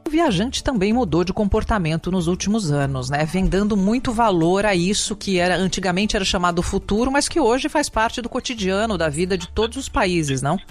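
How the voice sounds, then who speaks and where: A male host with a high voice asks questions in an interview. He answers with short approving remarks before steering to the next question, and faint music plays behind him. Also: animated, fairly quick, few pauses. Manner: short affirming reactions, then leading questions.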